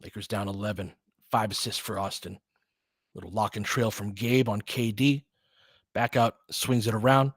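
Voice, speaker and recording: A man's voice: on the low side, fairly even intonation, moderate pace, breathy edge, no echo. Casual partisan male basketball commentator, dry sound. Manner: partisan fan, informal, rooting for his team. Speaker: play-by-play commentator and host. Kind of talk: live basketball play-by-play with casual analysis.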